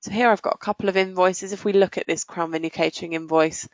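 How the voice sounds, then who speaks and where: A woman presenting a software walkthrough in a methodical, explanatory way. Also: on the low side, steady tone, fast, dry recording, muffled recording. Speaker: software demonstrator.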